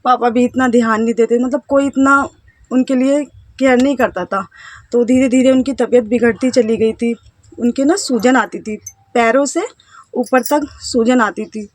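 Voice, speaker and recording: Woman in her 30s from india chatting to her phone at home, kinda high voice, stops and starts a lot, super dry close sound. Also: steady tone, no echo. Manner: hesitant, halting, with self-conscious laughs and trailing phrases. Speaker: vlogger, housewife. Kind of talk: casual personal storytelling to camera.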